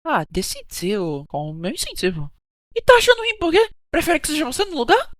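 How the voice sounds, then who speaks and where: A woman playing a touchy, evasive cruise hostess in an animated mystery, bickering and chatty, with a Brazilian accent. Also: high-pitched, fairly quick, dry recording, clean studio sound. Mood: indignant. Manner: defensive, gossipy, bickering. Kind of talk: animated murder-mystery dialogue.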